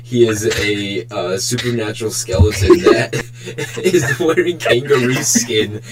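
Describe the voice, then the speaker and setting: A young man hosting a casual podcast, riffing excitedly and swearing as he jokes with friends. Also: medium pitch, measured pace, over loud music. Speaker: podcast host. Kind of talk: casual joking group banter.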